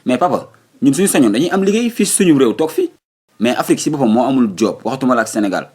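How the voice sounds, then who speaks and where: Casting: male actor as young Senegalese cartoon son, husky, very quick-talking, determined and argumentative. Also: medium pitch, very animated, a little mellow. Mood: frustrated. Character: determined, evasive young son eager to leave home. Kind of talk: comic cartoon dubbing.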